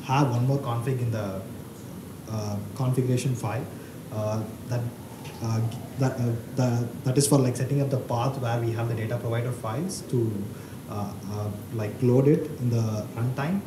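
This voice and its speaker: A man's voice: medium pitch, normal speed. A man in his thirties presenting at a tech meetup with a handheld mic, talking hesitantly with frequent uhs and likes. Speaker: conference speaker. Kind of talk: technical meetup talk. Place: meeting room with audience. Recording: roomy hall with background noise.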